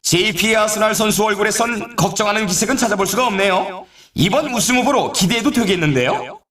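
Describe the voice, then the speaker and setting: A showy snowboard race announcer calls the competition with eager, theatrical drama. His voice sits high and moves animatedly up and down. It rings with roomy echo, like scripted arcade game commentary. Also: expressive, brisk, a crowd in the background. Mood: excited, suspenseful. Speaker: sports announcer. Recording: roomy echo, distant from mic.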